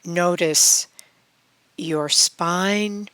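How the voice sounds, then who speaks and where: Female meditation guide: calm, extremely unhurried delivery with long pauses, smooth clear tone, close and dry sound. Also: lowish voice, no echo. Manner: calm, measured, with long pauses between phrases.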